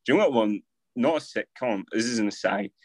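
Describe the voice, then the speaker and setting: A man's voice: lowish voice, fast talker, no room sound. A young man co-hosting a podcast, talking things through with wry, teasing asides. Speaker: podcast co-host. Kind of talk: casual chat.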